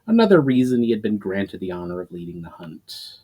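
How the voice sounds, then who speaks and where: A man with a fairly low voice reads in a dramatic storytelling style. His phrasing is measured and emphatic, rising at the start of sentences and falling away at their ends. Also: very animated, dark tone, breathy edge. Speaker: writer reading own story to channel viewers. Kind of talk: dramatic scripted narration of a fantasy story.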